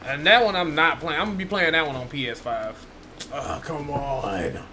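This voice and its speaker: A man's voice: fairly high pitch, moderate pace, bright, forceful tone, slight background noise. A man giving casual, slangy, swearing commentary while he streams a video game. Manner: slangy, profane muttering at the game. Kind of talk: casual let's-play commentary. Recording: game music behind the voice. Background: video game music.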